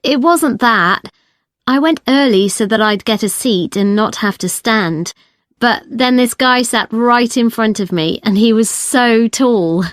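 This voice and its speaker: A woman's voice: a little high, a little slow, no room sound, studio quality, close to an everyday voice. An actress playing a frank, independent-minded young female student in a scripted, conversational listening-test dialogue. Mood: chatty. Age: young adult. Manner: conversational, firm.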